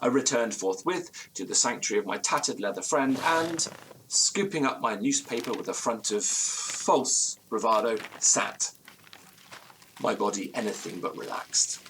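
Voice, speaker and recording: An actor playing an anxious old-fashioned gentleman, recounting a ghostly ordeal in formal, florid, theatrical language for a Gothic audio drama. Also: lowish voice, expressive, a little slow, a little hiss or hum, poor audio. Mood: uneasy, measured.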